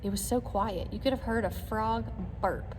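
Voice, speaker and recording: A woman reading a children's chapter book aloud in an expressive, clearly phrased narration style. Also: on the high side, normal speed. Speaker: read-aloud reader. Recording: long echoey reverb, background noise.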